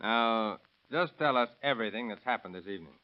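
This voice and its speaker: A man's voice: fairly low pitch, expressive, fairly quick, lightly acted. Actor as a plainspoken lawman, muffled old radio. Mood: businesslike. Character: plainspoken, puzzled lawman, partner in an investigation. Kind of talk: 1930s radio drama acting. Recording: muffled vintage radio with crowd-like background.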